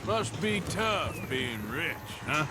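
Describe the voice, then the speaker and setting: A wry gunslinger in his thirties from a western game. His American-accented voice is slightly high for a man and unhurried, dry in manner, with clear outdoor noise around it. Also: lively intonation. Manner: dry, sardonic, laconic. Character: wry, laconic outlaw gunman in his thirties. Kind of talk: western video game acting.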